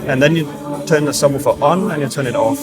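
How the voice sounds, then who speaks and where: A man's voice: a little high, quick pace, over loud music. A man giving technical explanations as an expert in a casual interview, hesitant and repeating words as he goes. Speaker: acoustic engineer, interviewed expert.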